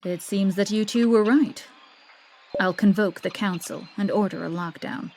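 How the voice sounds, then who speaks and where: A woman's voice: medium pitch, normal speed, darkish tone, natural voice, low-quality recording. A woman playing a stern, authoritative city councilor in a fantasy video game, speaking formally and with command. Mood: firm, decisive. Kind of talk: English video game cutscene acting.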